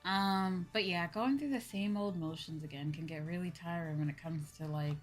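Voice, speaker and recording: Lower-pitched young woman chatting casually on stream. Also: moderate pace, faint music behind. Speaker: VTuber streamer. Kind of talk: casual livestream chat with viewers.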